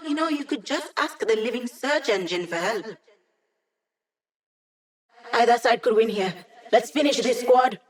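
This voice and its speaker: A woman's voice: fairly high pitch, unhurried, long pauses, natural voice. A woman voicing a wry, upbeat young hacker who lives as a digital mind, in a casual, teasing video game performance. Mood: wry, focused. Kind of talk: video game character voice lines.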